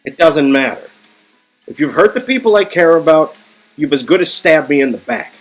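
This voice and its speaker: A man playing a flustered, earnest character with a halting, emphatic delivery in a story-game reading. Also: fairly high pitch, animated, close to an everyday voice. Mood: hurt, earnest. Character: flustered, incredulous man confronting a friend, earnest. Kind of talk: amateur dialogue reading of an interactive story game. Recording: music under the voice.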